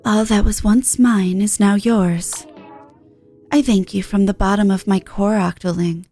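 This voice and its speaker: A woman's voice: medium pitch, fairly even intonation, measured pace, dark tone, faint music behind, pitched below the performer's own voice, lightly acted. A woman as a stiff, solemn robot shopkeeper. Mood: solemn gratitude. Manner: stiff, solemn, oddly worded. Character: formal, stilted, polite robotic shopkeeper creature.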